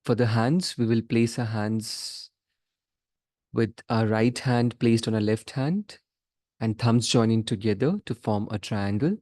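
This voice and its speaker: A man leading a guided meditation as a teacher, giving measured instructions in short phrases with pauses between them. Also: on the low side, dark tone, no room sound, clean studio sound.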